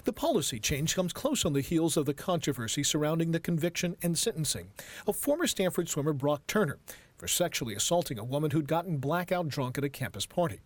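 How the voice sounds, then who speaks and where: An American man narrates a news package from a script. His voice is fairly high for a man and very animated in its intonation. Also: fairly quick, no echo. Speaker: TV news reporter.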